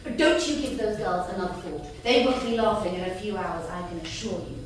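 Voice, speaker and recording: A woman's voice: medium pitch, brisk, natural voice. An actress playing a haughty, doting well-to-do mother, theatrical and effusive, in a staged script reading. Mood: reassuring. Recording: echoey theatre from far off, music.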